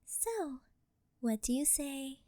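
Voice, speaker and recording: A woman's voice: sits high, sing-song, slow pace, dark tone, no room sound, studio quality, heavily acted. A woman voicing a rich, doting and possessive older girlfriend in an ASMR roleplay, coaxing and sweet-talking.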